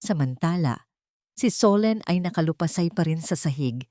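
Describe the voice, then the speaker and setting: A woman's voice: fairly low pitch, animated, normal speed, mellow, no echo, studio quality. A woman reading a scripted audiobook story as a dramatic narrator.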